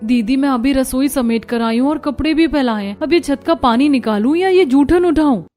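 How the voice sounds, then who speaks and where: Woman acting an exasperated, high-voiced young daughter-in-law. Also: normal speed, darkish tone, lightly acted. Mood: weary. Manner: complaining, sarcastic. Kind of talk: Hindi cartoon story acting.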